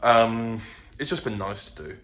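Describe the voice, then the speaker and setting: A man's voice: medium pitch, expressive, unhurried, fairly bright tone. A man chatting casually in a vlog, rambling and hesitant as he goes. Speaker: vlogger. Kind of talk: casual chat to camera. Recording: clear background noise.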